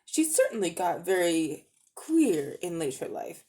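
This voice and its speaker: A woman acts out a sly, self-important older magician uncle from a storybook. He talks down to his listener in grand, condescending tones, with a very lively, swooping range of pitch. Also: unhurried. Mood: evasive, indulgent.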